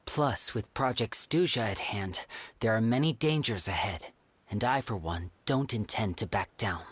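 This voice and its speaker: Game role: loyal young man. Earnest, respectful, soft-spoken, unsure of his own worth, medium register. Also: medium pitch, unhurried, breathy edge. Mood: earnest, determined. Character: earnest, loyal, self-doubting young man, devoted son. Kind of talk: scripted video game dialogue.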